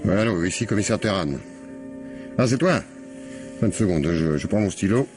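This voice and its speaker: Actor as a deep-voiced, shrewd police commissioner. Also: male voice, expressive, moderate pace, music in the background, lightly acted. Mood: brisk, wry. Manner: colloquial, probing, wry, murmured reactions. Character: shrewd, probing male police commissioner, wryly familiar. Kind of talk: French radio mystery play acting.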